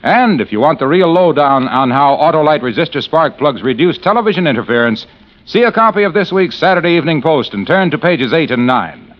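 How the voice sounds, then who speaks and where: Animated American radio pitchman, highish male voice. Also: a little fast. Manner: emphatic, salesmanlike. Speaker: radio announcer and sponsor pitchman. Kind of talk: 1940s radio commercial announcing. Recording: muffled vintage radio broadcast.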